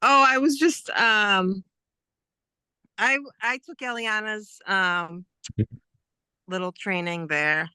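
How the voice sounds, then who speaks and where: A woman's voice: mid-range voice, takes it slowly, pauses often, pushed and bright, clean tone, no echo. A woman joining a webinar by voice only and speaking hesitantly with fillers. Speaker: webinar participant. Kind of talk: casual chat on a video call.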